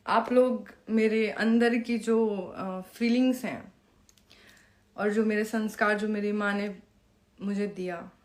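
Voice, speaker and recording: A woman talking informally to camera in a confrontational, scolding and taunting way, with rhetorical questions. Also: mid-range voice. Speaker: live video host.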